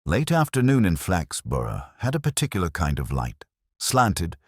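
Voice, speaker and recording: Man, mystery audiobook narrator, extremely low voice, varied melody, scripted storytelling, dry close recording. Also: wildly swooping pitch, moderate pace, no room sound.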